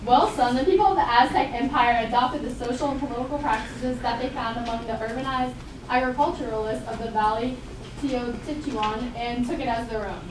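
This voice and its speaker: A woman's voice: fairly high pitch, fairly quick, close to an everyday voice. A woman playing a bossy elder of an ancient kingdom in a scripted puppet show. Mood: explaining. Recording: very echoey room, distant mic, clear noise.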